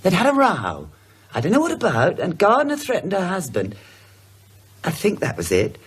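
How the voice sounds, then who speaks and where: A woman's voice: lowish voice, wildly swooping pitch, normal speed, breathy edge, full character voice. An actress playing an inquisitive, decisive married woman in a British radio drama, speaking in a musing, emphatic way. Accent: British English.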